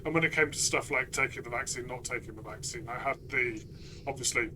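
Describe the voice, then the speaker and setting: A man's voice: medium pitch, brisk. A man chatting casually about his experience as a player in a tabletop game. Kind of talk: casual chat. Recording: clear background noise.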